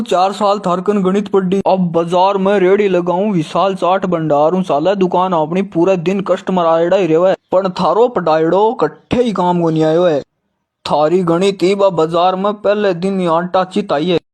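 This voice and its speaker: आदमी का निभाया ऊँचे सुर का तानेबाज़ राजस्थानी चाटवाला. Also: male voice, high-pitched, fairly even intonation, normal speed, darkish tone, lightly acted. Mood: mocking. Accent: Rajasthani. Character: mocking male ex-student turned snack vendor, taunting his teacher. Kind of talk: comedy skit dialogue in dialect.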